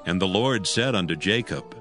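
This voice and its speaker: Male scripture narrator: solemn, measured, mid-pitched, expressive intonation, light music bed.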